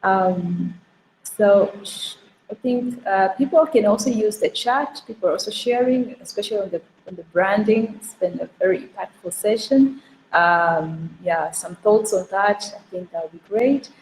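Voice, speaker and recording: A woman from Tanzania moderates an online wrap-up over a video call, inviting speakers in with an encouraging, slightly halting manner. Her voice echoes in a roomy space with a faint hiss behind it. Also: medium pitch, darkish tone. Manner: encouraging, hesitant with fillers. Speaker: session moderator.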